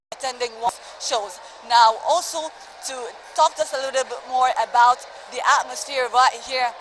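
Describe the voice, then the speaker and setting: A woman reporting live and fluently from an outdoor event. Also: high-pitched. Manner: fluent, announcing. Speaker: field reporter. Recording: noisy outdoor live feed.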